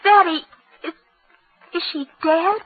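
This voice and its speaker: A woman's voice: very high-pitched, slow pace, faint music behind. A woman playing a sly, jealous young daughter who hides hatred under childlike obedience, in an old-time radio drama. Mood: innocent, pleading. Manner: meek and childlike with parents, seething when alone. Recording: muffled, narrow-band old radio recording.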